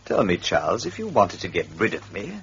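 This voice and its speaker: An actor playing a sardonic, challenging accused husband in a radio drama, speaking in a dry, pointed way. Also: male voice, low-pitched, big pitch swings, a little fast, fairly bright tone, full character voice. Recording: dull, noisy old radio recording.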